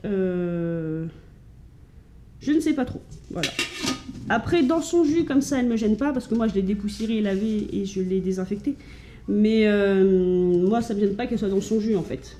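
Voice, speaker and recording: Chatty French woman with a warm, mellow voice. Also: mid-range voice, lively intonation, a little slow, long pauses. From France. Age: adult with children. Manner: chatty, hesitant, lots of fillers and restarts. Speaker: vlogger showing thrift finds. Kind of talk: casual chat to camera. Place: at home.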